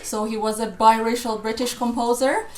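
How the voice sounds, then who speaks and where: A woman's voice: on the high side, fairly even intonation, normal speed, slight background noise. Iranian-born woman, roomy video call. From Iran. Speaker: choir director and guest. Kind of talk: conversational interview.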